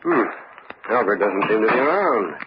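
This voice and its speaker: A steady, matter-of-fact police lieutenant in an old radio mystery. The voice is on the low side and businesslike. The recording is dull and plainly noisy. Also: male voice, moderate pace. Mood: measured, businesslike. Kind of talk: vintage radio mystery acting.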